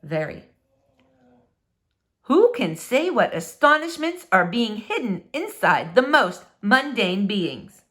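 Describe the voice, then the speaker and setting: A woman narrates a children's storybook with hugely varied pitch that rises and falls across each phrase. Her phrasing is dramatic and deliberate, giving key words clear emphasis. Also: very animated, fairly bright tone. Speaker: storybook reader. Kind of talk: expressive children's book read-aloud.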